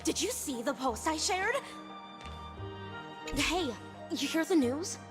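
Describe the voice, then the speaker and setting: A woman's voice: high voice, lively intonation, slow pace. A woman voicing a gossipy, easily alarmed young townsperson in an anime-style video game, emphatic and dramatic. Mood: shocked, indignant. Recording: music behind the voice.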